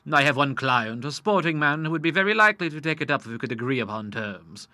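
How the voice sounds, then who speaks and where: Man voicing a genial old lawyer, learned, amiable, bright crisp tone, dry sound. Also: on the high side, animated, fast, dry recording. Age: older. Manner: genial, fond of Latin tags.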